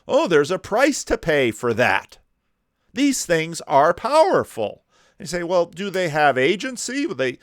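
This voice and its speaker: A man commenting on a video clip, talking in animated rhetorical questions with slow, deliberate emphasis. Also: a little high, dry recording, clean studio sound.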